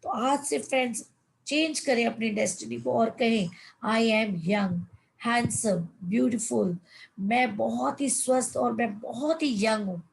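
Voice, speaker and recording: A woman in her fifties with a gravelly edge and a fairly high voice leads an online coaching session. She reads a passage aloud, then talks it through for her listeners, calling them friends and mixing English words into her speech. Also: takes it slowly, poor audio. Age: 50s. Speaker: life coach. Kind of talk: motivational online session in Hindi.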